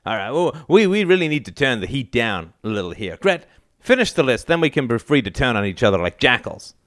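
A man's voice: mid-range voice, sing-song, brisk, a bit bright, no echo, studio quality, voice pushed up. A man voicing a harried middle-aged father in an audiobook story, firm one moment and cajoling the next. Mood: placating.

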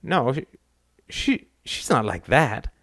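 A man's voice: mid-range voice, sing-song, a bit bright, no echo, studio quality, heavily acted. A man reading aloud and voicing a timid grown son who stammers and hesitates when defending himself. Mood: defensive stammer. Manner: halting, stammering.

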